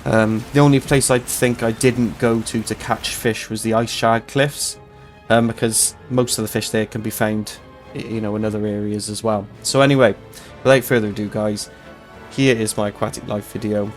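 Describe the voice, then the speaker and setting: Hoarse, flat-voiced gaming man. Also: on the low side, moderate pace, faint music behind. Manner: chatty, rambling explanations. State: slightly hoarse, tired voice. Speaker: host of a gaming channel. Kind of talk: casual game walkthrough.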